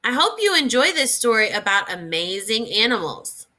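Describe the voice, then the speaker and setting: A woman reading aloud in an expressive, instructive way, as a teacher does for young children, pausing to think through questions. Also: a little high.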